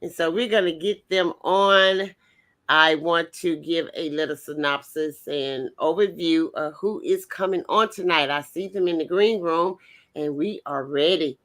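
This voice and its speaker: Woman, bright forceful voice, slow pace, chatty folksy show host. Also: low-pitched. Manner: folksy, chatty, addresses audience as y'all. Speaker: host of a music spotlight show. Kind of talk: casual live show hosting.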